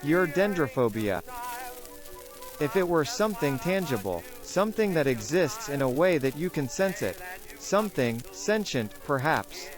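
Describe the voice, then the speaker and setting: An actor playing a clinical researcher in an analog horror drama, questioning a test subject in a measured, methodical way. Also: male voice, fairly high pitch, very animated, music in the background. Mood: clinical, probing. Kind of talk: analog horror found-footage acting, interview.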